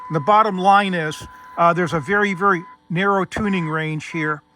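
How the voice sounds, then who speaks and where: A man's voice: high voice, steady tone, moderate pace, sharp tone, a little hiss or hum. A man hosting a casual, hands-on technical demonstration, explaining things methodically step by step. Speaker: host demonstrating vintage radio gear.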